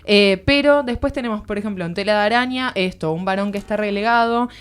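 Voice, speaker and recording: A woman's voice: mid-range voice, fast, clean tone. A woman with an Argentine accent giving a conversational book review as a radio columnist, explaining earnestly and searching for words. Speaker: literary columnist on a radio show. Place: radio studio.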